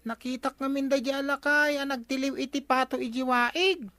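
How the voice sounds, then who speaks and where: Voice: sits high, fairly even intonation, normal speed, sharp tone, no room sound. A woman playing a cheeky, inquisitive grandchild in an Ilocano comedy radio drama. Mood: curious. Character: nosy, cheeky young grandchild of the village captain. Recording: faint background noise.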